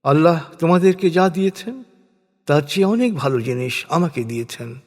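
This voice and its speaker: A man reading a scripted scripture translation in a measured, solemn, deliberate manner. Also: on the high side, expressive, darkish tone. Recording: long hall reverb on voice.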